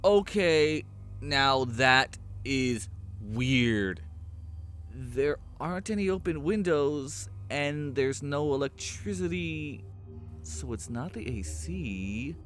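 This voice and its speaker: A man's voice: mid-range voice, animated, slow, a bit bright, faint music behind. Man playing a puzzled, practical young guy. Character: puzzled, practical young male friend exploring mansion. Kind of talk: horror audio drama acting.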